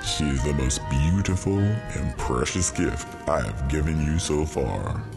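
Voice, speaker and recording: An actor playing a solemn, benevolent God in an audiobook Bible drama, speaking in a measured, grand, authoritative way. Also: male voice, extremely low pitch, animated, vocal fry, full character voice. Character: God, solemn benevolent commanding male creator. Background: music.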